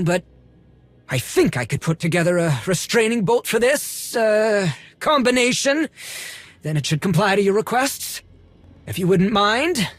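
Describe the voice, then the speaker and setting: A man's voice: high voice, no echo. A man playing a fussy, self-satisfied collector in a video game, with an effusive, theatrical, condescending manner.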